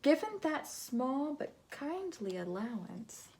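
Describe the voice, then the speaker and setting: A woman reading a picture book aloud to children in an expressive, dramatic way, moving between hush and big swells. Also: high voice, slow pace, background noise. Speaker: storybook reader.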